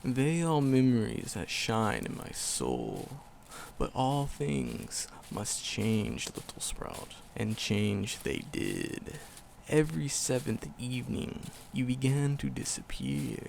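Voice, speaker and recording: A man voicing an ancient, hulking forest demon, tender and wistful, in a gravelly, poetic, slow-phrased ASMR roleplay. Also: medium pitch, huge pitch swings, darkish tone, slight background noise, heavily acted. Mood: sorrowful. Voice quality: gravelly, growling creak. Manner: slow, poetic, storybook phrasing with dramatic pauses.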